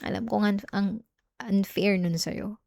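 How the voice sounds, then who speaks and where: Woman acting as jealous young girlfriend, Filipino accent, intimate ASMR roleplay, gravelly creak, mellow tone, dry recording. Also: mid-range voice, steady tone, unhurried, no echo. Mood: remorseful, tender. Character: jealous young girlfriend, sulky, clingy, turning remorseful.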